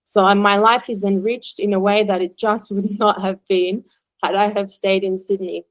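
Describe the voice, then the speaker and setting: A woman's voice: medium pitch, little pitch movement, moderate pace, dry recording, poor audio. A woman sharing her own experience as a guest speaker on a video call, reflective in manner.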